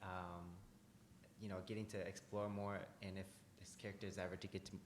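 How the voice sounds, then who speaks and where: A man speaking thoughtfully in a casual group discussion, pausing often with ums. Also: sits low, flat delivery. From United States. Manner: thoughtful, hesitant with many ums. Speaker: actor. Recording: roomy echo, faint noise.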